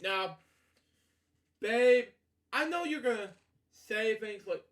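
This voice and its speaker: High-voiced man slowly reading a bedtime story. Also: lively intonation, fairly bright tone. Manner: halting, stumbling over words, repeating himself; frequent filler catchphrases like "okay" and "you know". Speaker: storytelling host. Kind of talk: reading a children's bedtime story aloud with rambling asides.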